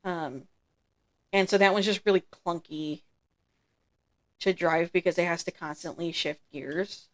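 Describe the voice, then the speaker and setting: A woman streaming a farming game, chatting casually through her gameplay in a relaxed, rambling way, a little congested from allergies. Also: fairly low pitch, a little slow, long pauses. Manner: relaxed, chatty, trailing off with ums.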